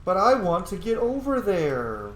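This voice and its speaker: A man's voice: sits high, sing-song, unhurried, fairly bright tone. Man rambling through game commentary, roomy echo. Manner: playful, swearing, rambling asides. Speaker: let's play streamer. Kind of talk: casual gameplay commentary. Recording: roomy echo, faint background noise.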